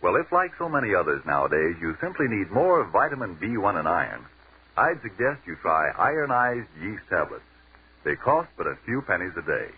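A man's voice: on the low side, sing-song, brisk, slight background noise. A man announcing and reading a commercial in a persuasive, emphatic 1940s radio style. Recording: tinny old radio broadcast.

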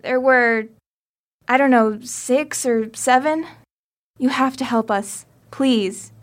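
A woman's voice: sits high, slow pace. A woman playing an earnest teenage girl who pleads for help in an audio drama. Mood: pleading.

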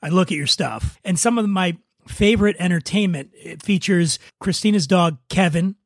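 A man's voice: sits high, normal speed, no room sound. A man hosting a podcast, chatting informally and conversationally with his guests. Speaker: podcast host. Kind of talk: casual podcast chat.

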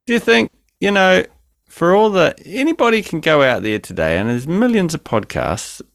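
A man's voice: on the high side, big pitch swings, normal speed, gravelly, a bit bright, no room sound, studio quality. A man co-hosting a podcast by remote call, joking crudely and swinging between mumbling and exclaiming. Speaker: podcast co-host. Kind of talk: casual podcast banter. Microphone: remote call mic.

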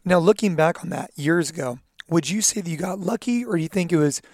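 A man with a high voice hosts an interview, talking fast and eagerly as he sets up his questions. The recording is dry and close. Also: darkish tone, dry recording. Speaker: podcast host for gym owners. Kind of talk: interview host.

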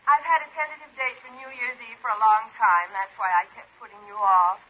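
Husky, polite lady on telephone. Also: a little high, lively intonation, a little fast, narrow, tinny audio. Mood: apologetic. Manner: courteous, gentle firmness. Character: polite, apologetic woman, a sweetheart calling. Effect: telephone filter. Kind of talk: 1950s radio sitcom acting. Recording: thin phone-filtered 1950s radio recording.

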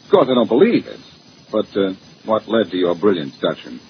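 A man's voice: on the low side, big pitch swings. A muffled, noisy vintage radio recording of a glib, sarcastic detective. His lines swing freely in melody as he tosses off wisecracks in old-time crime drama style. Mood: cocky, teasing. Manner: glib, sarcastic, quick with quips. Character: cocky wisecracking amateur detective.

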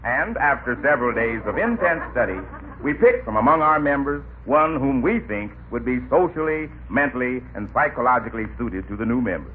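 Man acting officious, lively club interviewer. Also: mid-range voice, fairly quick, close to an everyday voice. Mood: formal, helpful. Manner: formal, explanatory, patient. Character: officious, fussy male social club interviewer. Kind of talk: old-time radio comedy acting. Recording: scratchy old radio broadcast, narrow band.

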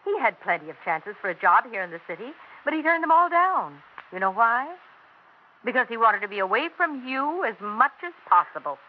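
An American actress playing a blunt, exasperated grown daughter in an emphatic, confrontational 1960s radio drama style. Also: female voice, a little high, big pitch swings, normal speed. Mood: blunt, accusing. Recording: muffled, noisy 1960s radio recording.

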